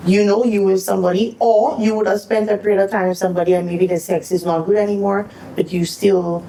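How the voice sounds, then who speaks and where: A woman's voice: mid-range voice, level tone, fast, dark tone, a little hiss or hum, rough recording. A woman co-hosting a podcast, talking frankly in casual chat and using slang. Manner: frank, slangy, thinks aloud. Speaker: podcast co-host.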